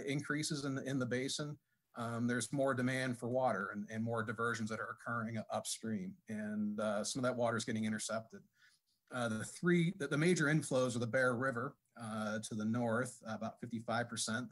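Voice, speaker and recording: A man giving a technical presentation at a conference, speaking haltingly with many fillers and restarts. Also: mid-range voice, steady tone. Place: conference session room.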